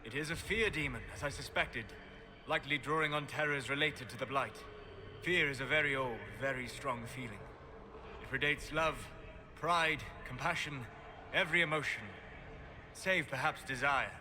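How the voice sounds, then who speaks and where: Man as a measured, grave scholar of spirits. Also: mid-range voice, background noise, natural voice. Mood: grave warning. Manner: measured, explanatory. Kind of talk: video game voice acting.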